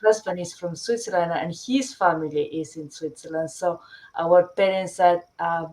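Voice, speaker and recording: A middle-aged woman from Chile, a company founder presenting her product in a webinar, often pausing with fillers and restarting sentences. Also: lowish voice, darkish tone, a little hiss or hum, rough recording. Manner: hesitant, many fillers and restarts.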